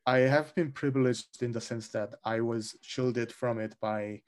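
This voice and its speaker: A man with a Korean accent, a research analyst speaking thoughtfully in a panel discussion, pausing often with fillers. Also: mid-range voice, fairly even intonation. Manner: thoughtful, frequent pauses and uh fillers.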